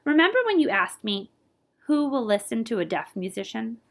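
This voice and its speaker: A woman's voice: on the high side, big pitch swings, normal speed. Woman reading as an earnest male jazz pianist. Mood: proud. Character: deaf male jazz pianist, wistful but warm-hearted.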